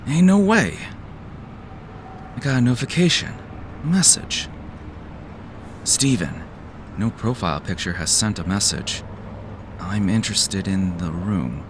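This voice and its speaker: A man's voice: lowish voice, sing-song, a little slow, long pauses, background noise. A man playing a jaded, cynical office worker who narrates an audio drama in a weary, sardonic, profane way. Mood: wary.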